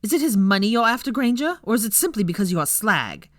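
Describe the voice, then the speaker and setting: A woman's voice: mid-range voice, sing-song, fast, dry recording, studio quality, voice pushed up, natural voice. A woman voicing a spiteful, foul-mouthed young witch bully, abrupt and sneering, in a storybook reading. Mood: hostile.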